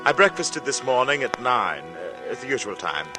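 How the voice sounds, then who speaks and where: An actor in a 1940s radio drama playing an uneasy thirty-five-year-old artist, telling his strange story slowly and deliberately. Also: medium pitch, very animated. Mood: measured, foreboding. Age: 30s. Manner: slow, halting, deliberate, dramatic. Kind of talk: 1940s radio drama acting, first-person narration. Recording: vintage radio broadcast with music. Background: dramatic music.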